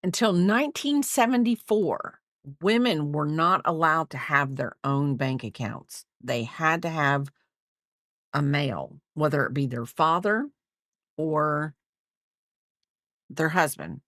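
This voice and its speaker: A woman's voice: deep voice, expressive, slow, a bit bright, no echo, studio quality. A woman in her fifties chatting casually on a crochet livestream, rambling and reading out viewer comments. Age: 50s.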